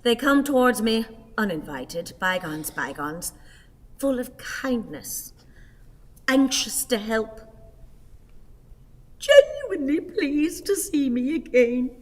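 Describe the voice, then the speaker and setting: An actress playing a self-pitying, sorrowful old woman in a radio play, with halting, declamatory, melodramatic delivery. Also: a little high, very animated, long reverb tail, exaggerated voice. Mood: brooding, wistful. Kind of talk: theatrical radio play acting.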